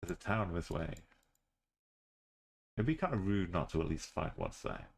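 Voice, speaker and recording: A man's voice: low voice, huge pitch swings, moderate pace, gravelly. A man streaming a game, thinking aloud in short muttered phrases. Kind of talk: commentary while playing a game.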